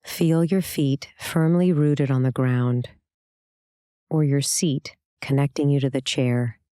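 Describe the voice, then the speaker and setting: Mellow, smooth meditation guide. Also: medium pitch, no room sound, clean studio sound. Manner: calm, soothing, gentle delivery with long pauses.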